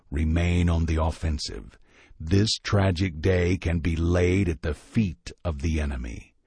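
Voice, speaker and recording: A man voicing a haunted, commanding evil spirit with a rasping, echoing voice and slow, menacing speech. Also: deep voice, a little mellow, clean studio sound, voice pushed down, full character voice.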